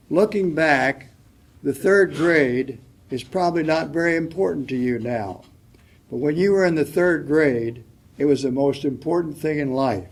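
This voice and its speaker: An older man reading a letter aloud as a panelist at a public forum, soft-spoken and reflective. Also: fairly high pitch. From United States.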